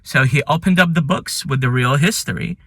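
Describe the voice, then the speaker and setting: A man who is not a native English speaker hosts a ranked commentary, speaking earnestly and with admiration, with some halting phrasing. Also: on the high side, vocal fry, fairly bright tone, dry recording, studio quality. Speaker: channel host. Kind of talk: ranking list commentary.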